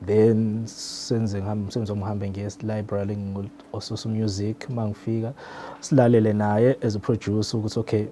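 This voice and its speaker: A man's voice: on the low side, little pitch movement, brisk, mellow, slight background noise. A South African man, a native Zulu speaker, casually explaining his technical production work in an interview. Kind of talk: casual explanatory interview answers, mixing languages. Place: radio drama studio.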